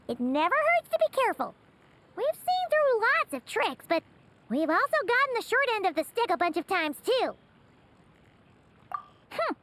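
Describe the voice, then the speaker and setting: A woman voicing a small, excitable, chatty fairy companion in a video game, with a squeaky childlike voice and an exclamatory manner. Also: background noise. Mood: huffy, then upbeat. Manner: exclamatory, speaks of herself in third person. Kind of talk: animated video game voice acting.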